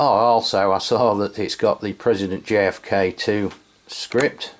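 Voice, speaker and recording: A man from England giving a casual, hesitant product review with many fillers. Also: lowish voice. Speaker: radio reviewer. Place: home radio room.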